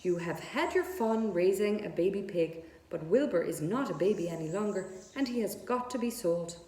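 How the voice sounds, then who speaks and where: A woman's voice: mid-range voice, lively intonation, moderate pace, dark tone, roomy sound, close to an everyday voice. A woman reading a storybook part as a firm, matter-of-fact farmer father.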